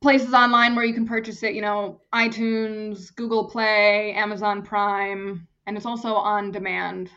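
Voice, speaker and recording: A woman's voice: mid-range voice, level tone, unhurried, hardly any pauses, pushed and bright, dull audio. A young woman from Germany, an actress and stunt performer, chatting casually as an interview guest, often restarting her phrases.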